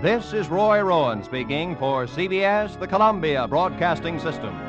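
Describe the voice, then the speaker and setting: A man as a formal radio announcer reading credits and promotions in a crisp, measured way. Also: on the high side, animated, loud music behind the voice. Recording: muffled old radio broadcast.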